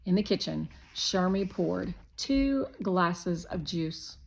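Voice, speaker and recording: A woman reads a children's story aloud in a fairly low voice with a strong creak in it. Her delivery is slow and measured, suited to scripted narration for young listeners. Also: expressive, poor audio.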